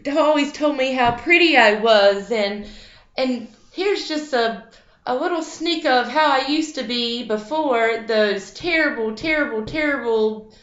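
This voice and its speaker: A woman's voice: on the high side, a bit bright. A woman voicing a self-pitying young maiden who whines and sobs over her hard life, in an echoey room. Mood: wistful. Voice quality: whiny, weepy. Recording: echoey, slightly dull recording.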